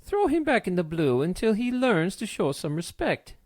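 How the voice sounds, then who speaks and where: A man's voice: high-pitched, big pitch swings, normal speed, darkish tone, no echo, studio quality, pitched above the performer's own voice. A man voicing a commanding, foul-mouthed woman pirate captain in an audiobook, barking in salty pirate talk. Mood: irate, commanding. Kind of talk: audiobook character voice.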